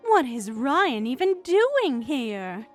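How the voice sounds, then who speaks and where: A woman's voice: high-pitched, big pitch swings, takes it slowly, faint music behind, voice pushed up, full character voice. A woman voicing a vengeful betrayed wife in a story, sarcastic and scolding. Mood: mock surprise.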